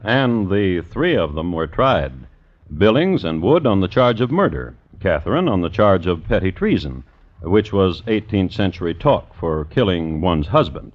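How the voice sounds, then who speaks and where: Low-voiced, wry crime historian. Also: male voice, faint music behind. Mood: grave, wry. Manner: measured, wry, deadpan, scholarly. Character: dry, macabre gentleman crime historian and host. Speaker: narrator and host. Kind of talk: 1950s radio scripted narration. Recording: dull vintage radio recording.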